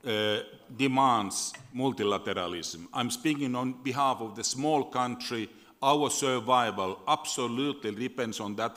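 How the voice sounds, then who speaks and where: A man's voice: mid-range voice, fairly bright tone. Man asking a question in a hall. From Finland. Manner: earnest, repeats words, wry humor. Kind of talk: panel question from the floor. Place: large conference hall. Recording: reverberant conference hall.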